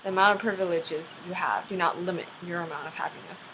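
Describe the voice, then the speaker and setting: A woman's voice: lowish voice, steady tone, fast talker, a bit bright, rough recording. A seventeen-year-old woman talking to her viewers in an emphatic, opinionated personal monologue, mixing slang with formal wording. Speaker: video blogger. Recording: noticeable background noise.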